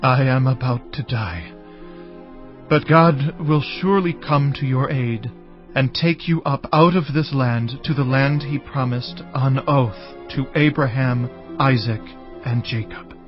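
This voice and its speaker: A man acts out an ancient Hebrew statesman in a scripture drama, speaking very slowly and solemnly. His earnest, forgiving manner sits over music in slightly dull audio. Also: medium pitch, natural voice. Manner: measured, earnest, solemn delivery.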